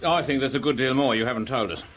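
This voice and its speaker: An actor playing a suspicious investigator in a radio drama, with a blunt, accusatory manner. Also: male voice, medium pitch, fast talker, fairly bright tone, lightly acted. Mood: accusing.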